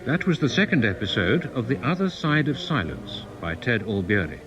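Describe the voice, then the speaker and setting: A man reading scripted drama credits and introductions as a formal, measured radio continuity announcer. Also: medium pitch, very animated, lo-fi sound. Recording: music behind.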